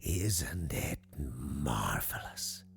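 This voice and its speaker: An obsessive circus showman , acted out by a male narrator, in a dark audiobook. The voice is low and gravelly, swooping theatrically as he lingers rapturously over each word. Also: sing-song, extremely slow, a little hiss or hum, full character voice. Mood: rapturous, grandiose. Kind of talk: audiobook character acting.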